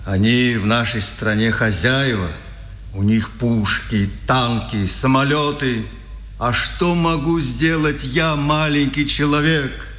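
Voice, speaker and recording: A stiff little village policeman in a vintage Soviet radio play. He talks slowly and deliberately, formal and submissive. His voice rings in a long, hollow reverb. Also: medium pitch, a bit bright. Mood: resigned. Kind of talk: 1950s Soviet radio drama acting. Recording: echoey, reverberant old radio recording.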